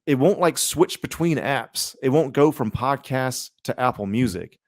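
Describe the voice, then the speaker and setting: A man's voice: medium pitch, expressive, unhurried, no room sound, studio quality. A man co-hosting a podcast in casual chat, with a slangy, sweary, conversational way of talking. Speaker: podcast co-host.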